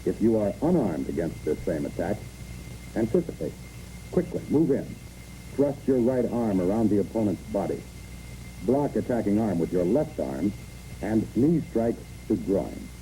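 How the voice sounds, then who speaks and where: A man's voice: lowish voice, sing-song, normal speed, warm tone, a little hiss or hum. A man reads scripted instructional narration for a training film in clipped, imperative phrases, on a muffled old film recording.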